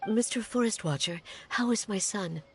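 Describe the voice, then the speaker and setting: A woman's voice: medium pitch, moderate pace, faint music behind, close to an everyday voice. Woman acting anxious, pleading mother. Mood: worried. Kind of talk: English game voice acting.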